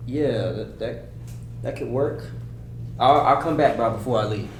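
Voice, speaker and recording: Easygoing young man, read by a male actor, polite and halting, medium pitch, roomy sound with hum. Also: natural voice. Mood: hesitant. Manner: halting, casual. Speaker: actor at a script table read. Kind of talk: table read of a film script. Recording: echoey room with noisy hum.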